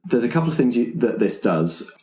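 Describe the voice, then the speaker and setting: A man acting as game master, explaining tabletop game rules to his players in a halting, explanatory way. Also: medium pitch, very animated, darkish tone. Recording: roomy, echoing room sound.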